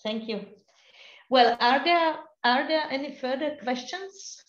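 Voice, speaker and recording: A woman's voice: high voice, takes it slowly. A woman moderating a question round at a book event, recorded from a far camera in an office. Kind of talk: hosting a Q&A. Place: office room with a desk. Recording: roomy, dull far mic.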